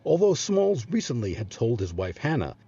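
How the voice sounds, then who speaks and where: A man narrating scripted history as a series host, with a dramatic, measured storytelling delivery. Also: mid-range voice, big pitch swings, warm tone, slightly rough, highs cut off.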